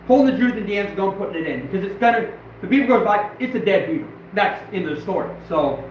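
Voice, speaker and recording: A hoarse man who is losing his voice, rambling excitedly and bluntly as he hosts a casual outdoor livestream. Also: sits high, slight background noise. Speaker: trapper and channel host. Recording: very echoey, distant, dull and lo-fi.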